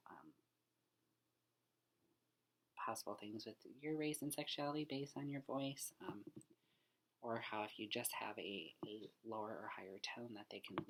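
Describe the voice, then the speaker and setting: A young man's voice sits very low as he talks through his thoughts on a book for class. He is earnest and unpolished, circling back over his words, and often drifts down into a breathy, half-whispered tone. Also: fairly bright tone, slight background noise, low-quality recording. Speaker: student. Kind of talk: casual spoken reflection on a book.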